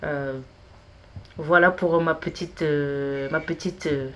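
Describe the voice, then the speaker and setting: A woman's voice: low voice, fairly even intonation, slow pace, fairly bright tone. A woman in her thirties, a consultant guest on a podcast, talking with many hesitations and fillers. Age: 30s. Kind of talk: casual interview.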